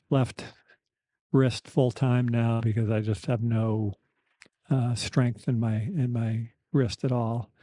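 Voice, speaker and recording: Mature male podcast host, mellow soft voice, slightly weak and lisping, halting candid delivery, dry close sound. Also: mid-range voice, no echo. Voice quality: slight lisp, thick-tongued articulation. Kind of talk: reflective personal conversation.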